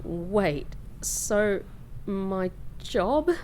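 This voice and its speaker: A sardonic young woman in a fantasy comedy podcast voiced by an actress. Her delivery is dry and halting, unhurried in pace, with big swings in pitch as she gets flustered. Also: lively intonation, darkish tone, a little hiss or hum, poor audio. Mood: incredulous. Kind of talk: comedy fantasy audio drama acting.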